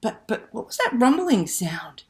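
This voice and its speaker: A woman telling a picture-book story to children in a dramatic, expressive read-aloud style. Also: on the high side, unhurried. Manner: dramatic, animated delivery with hushed passages and exclamations.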